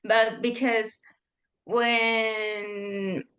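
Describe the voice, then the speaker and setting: Slow, high-voiced Mexican woman. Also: level tone, a bit bright. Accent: Mexican Spanish. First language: Spanish. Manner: hesitant, many fillers, laughs often. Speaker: interview guest. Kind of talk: casual conversation.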